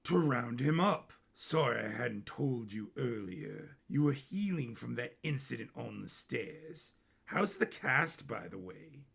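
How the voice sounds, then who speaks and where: A cold older king from an audio storybook, acted out by a male narrator. He speaks slowly and regally, drawing out his menace, with a creaky edge to the voice. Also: medium pitch, expressive, a bit bright, pitched below the performer's own voice, exaggerated voice. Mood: calm, sinister.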